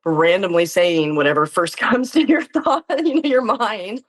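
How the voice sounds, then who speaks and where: A woman's voice: medium pitch, measured pace. A woman chatting casually as an interview guest, informal and self-effacing. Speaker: interview guest, tabletop game performer. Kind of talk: casual chat.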